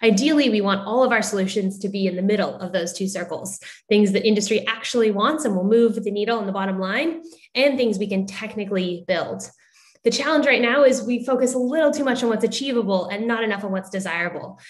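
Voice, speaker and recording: A woman's voice: medium pitch, a little fast. A middle-aged American woman from the United States giving an upbeat, articulate prepared presentation as moderator on a video call. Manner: upbeat and articulate, occasional fillers. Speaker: moderator introducing startups.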